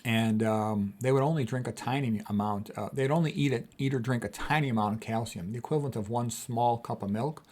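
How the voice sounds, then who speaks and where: A man's voice: medium pitch, a little fast, few pauses, a little mellow. A man giving an informal explanatory health lecture as a physician, blunt and emphatic, repeating his key claims.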